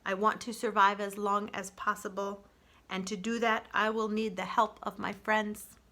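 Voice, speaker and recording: A calm, caring female robot from a storybook, read by a woman. She speaks in measured, formal sentences with a clear bright tone and earnest gentleness. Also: mid-range voice. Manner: measured, formal phrasing without contractions. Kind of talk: children's storybook character voice.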